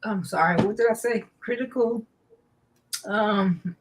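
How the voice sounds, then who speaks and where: A woman hosting a live online talk show, slow and halting with many fillers, her nose stuffy. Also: medium pitch, noisy background. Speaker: host.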